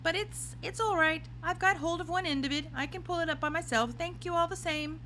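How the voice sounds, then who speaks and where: A woman's voice: very high voice, a little fast, pushed and bright, a little hiss or hum, voice pushed up. A woman voicing a cool, chatty old grandmother in a storybook, breezy and politely unruffled.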